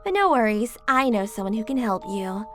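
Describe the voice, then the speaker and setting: A woman playing a quick-talking shady fixer. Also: a little high, big pitch swings, music in the background. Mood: hushed. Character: shady underworld contact.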